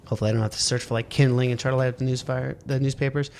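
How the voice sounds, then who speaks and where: A man's voice: medium pitch, steady tone, very fast, gravelly, noisy background. A man playing a video game, muttering, swearing at it and reading its text aloud. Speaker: let's play gamer. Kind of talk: casual let's play commentary, reading game text aloud.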